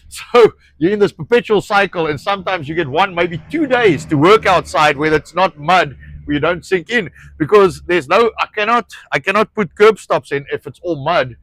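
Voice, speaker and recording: A man's voice: high voice, lively intonation, moderate pace, fairly bright tone, no room sound, low-quality recording. A man with an Afrikaans accent, Afrikaans his first language, hosting a casual outdoor talk about a ranch project, often hunting for words with fillers and restarts, swearing now and then. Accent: South African. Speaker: ranch owner and show host.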